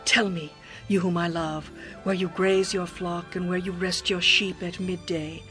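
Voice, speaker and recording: An actress playing a young, lovestruck bride, yearning and adoring, in a tender, poetic dramatized scripture reading. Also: female voice, lowish voice, unhurried, soft background music. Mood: earnest, pleading. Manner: expressive, poetic, tender delivery.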